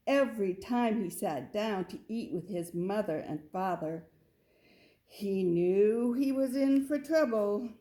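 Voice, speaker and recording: A woman reads a children's picture book aloud. Her pace is very unhurried and her pitch sits mid-range, in a storytelling style. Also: animated, warm tone.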